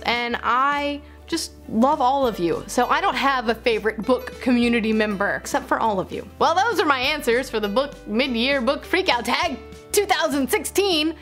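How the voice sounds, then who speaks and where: A woman's voice: high voice, lively intonation, normal speed, fairly bright tone, soft background music. A woman chatting casually as a book vlogger, playful and self-interrupting, gushing and repeating words. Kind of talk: casual chatty vlog to camera.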